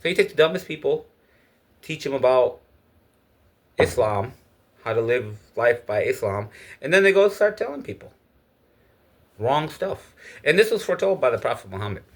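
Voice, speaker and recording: A man's voice: mid-range voice, expressive, normal speed, pauses often. Man from Egypt, lecturing monologue. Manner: opinionated, lecturing. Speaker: speaker giving his views. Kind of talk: casual spoken monologue.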